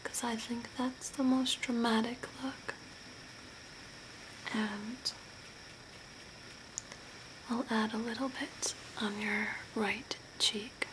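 A woman whispering softly and breathily in a soothing, deliberate ASMR role play, close to the mic. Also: fairly high pitch, fairly even intonation. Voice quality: breathy, mostly unvoiced whisper. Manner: soft, deliberate, soothing with short phrases. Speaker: ASMR performer playing a zombie makeup artist. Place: small curtained room at home. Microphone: close to the mic at a desk. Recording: clear background noise, room ambience.

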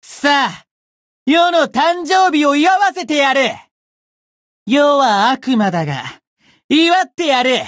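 A woman voicing a cocky, bratty young demon who boasts pompously in rough slang, in a Japanese game character style. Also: sits high, lively intonation, takes it slowly, pauses often, no room sound, studio quality. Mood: boastful, gleeful.

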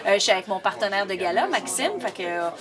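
A woman's voice: medium pitch, very animated, fast talker. A woman, a comedian talking hesitantly in a backstage interview in a dressing room. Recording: crowd noise behind.

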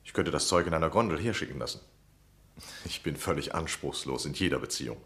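Höflicher Herr mit tiefer Stimme. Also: male voice, a bass voice, animated, fast, fairly bright tone. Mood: eager, persuasive. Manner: polite, persuasive, effusive. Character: courteous, eager, flattering younger gentleman seeking lodgings. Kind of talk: radio drama acting.

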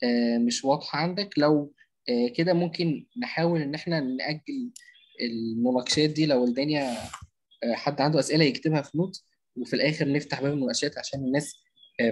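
Fast-talking Egyptian man teaching online. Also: medium pitch, slight background noise. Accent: Egyptian Arabic. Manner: fast, many drawn-out filler sounds, checks in with listeners. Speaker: marketing instructor. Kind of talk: live online class. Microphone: computer mic on video call.

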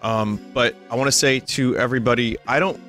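A young man, a little hoarse and tired, chatting casually and rambling to his stream viewers from home. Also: mid-range voice, fairly even intonation, fairly quick, fairly bright tone, faint music behind. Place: home room.

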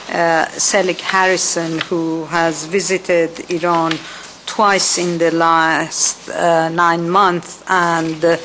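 A woman's voice: on the low side, background noise. A woman moderating an event who gives a formal, hesitant introduction with many fillers.

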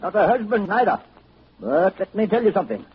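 An actor playing a sly, boastful old New backwoods wizard with a folksy, taunting way of talking, in 1940s radio style. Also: male voice, high voice, sing-song, fairly quick. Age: older. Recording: muffled, noisy 1940s radio recording.

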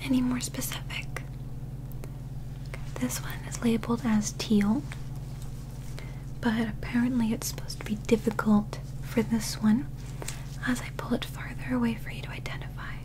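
A young woman doing a soft-spoken ASMR medical roleplay in a breathy whisper, with gentle, measured, clinical instructions. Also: a little high, level tone, rough recording. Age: young adult. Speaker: ASMR performer playing an alien medical examiner. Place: small indoor set.

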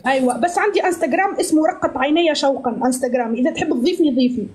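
A woman's voice: high-pitched, rapid-fire, darkish tone. A woman in her twenties hosting a live stream from a phone, chatting and teasing guests in a playful, mock-pious way. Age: 20s. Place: small room indoors. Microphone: phone at arm's length.

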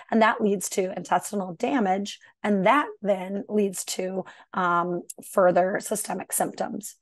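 Woman in her thirties, hoarse and raspy, gives a relaxed medical talk over a video call, dry close sound, middle pitch. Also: dry recording. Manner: explanatory, conversational with fillers. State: hoarse, clearing throat. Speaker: gastroenterologist presenting a webinar. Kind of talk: medical webinar presentation.

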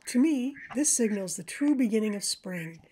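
Woman, scripted narration, warm relaxed timbre, gentle storytelling, measured delivery. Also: on the high side, husky. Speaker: presenter of a backyard birding video for a library.

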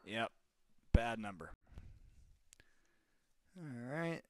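A man making live calls on behalf of a real estate group, talking casually and haltingly. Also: mid-range voice, expressive, a bit bright, slight background noise. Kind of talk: live cold call, casual phone chat.

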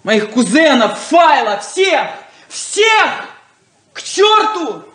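A proud, hurt farm daughter in a 1970s radio drama. Her high voice is serious, indignant and full of feeling. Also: very animated, very slow, a bit bright, slight background noise, exaggerated voice. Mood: hurt, defiant. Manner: indignant, emotional. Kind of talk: 1970s Soviet radio play acting.

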